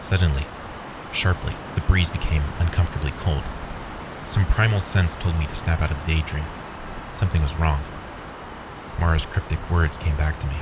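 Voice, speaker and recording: A man's voice: a bass voice, steady tone, moderate pace, long pauses, heavy background noise. A man reading scripted first-person horror fiction as the narrator.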